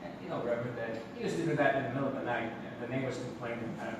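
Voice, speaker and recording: An actor in a comic stage play, playing an amiable police officer who is hesitant, folksy and rambling. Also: male voice, medium pitch, racing, natural voice. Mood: chatty, hesitant. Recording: distant, very echoey theatre recording with hiss.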